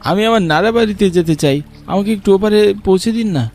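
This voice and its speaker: A man's voice: high-pitched, quick pace, a little mellow, soft background music, natural voice. A man playing an earnest young man who narrates a cartoon ghost story in the first person. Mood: puzzled, pleading. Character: earnest young man narrating his eerie village journey.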